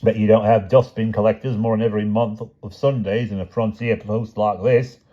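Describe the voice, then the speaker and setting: A man's voice: fairly low pitch, fairly even intonation, a little fast, muffled recording, voice pushed up, lightly acted. A man doing a chatty van driver. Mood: breezy. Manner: chatty, breezy, colloquial.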